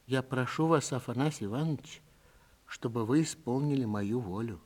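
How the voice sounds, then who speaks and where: A man voicing a gentle, resigned old woman who speaks quietly and earnestly in a literary story. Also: medium pitch, normal speed, natural voice. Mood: solemn, earnest. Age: older.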